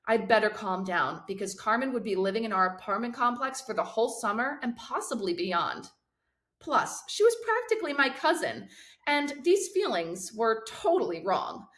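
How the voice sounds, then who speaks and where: A woman reading a children's novel aloud in expressive storybook narration. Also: on the high side, normal speed. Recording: roomy, echoing sound.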